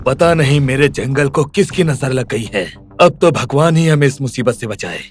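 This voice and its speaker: A man voicing a worried, pious lion king in an animated moral story, with earnest, dramatic delivery. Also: mid-range voice, normal speed, lightly acted. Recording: music under the voice.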